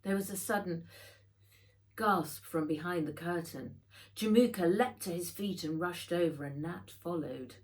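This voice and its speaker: A woman reading a children's adventure novel aloud, in measured, dramatic storytelling. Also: fairly low pitch. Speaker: author reading own book aloud. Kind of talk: scripted audiobook narration for children.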